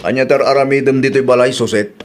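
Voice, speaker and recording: A man's voice: medium pitch, steady tone, fast talker, a little hiss or hum, close to an everyday voice. A man with a Filipino Ilocano accent playing a stern, exasperated widowed father in a drama. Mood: irritated. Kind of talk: Ilocano radio-style drama acting.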